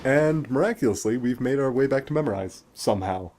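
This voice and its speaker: A man's voice: mid-range voice, animated, brisk, darkish tone, slight background noise. A man giving casual game commentary as the host, explaining things in a conversational way. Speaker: gaming video host.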